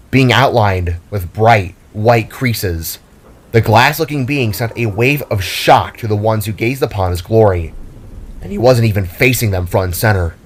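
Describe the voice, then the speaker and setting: A man's voice: lowish voice, a little hiss or hum. A man narrating a scripted fantasy story in a dramatic storytelling style.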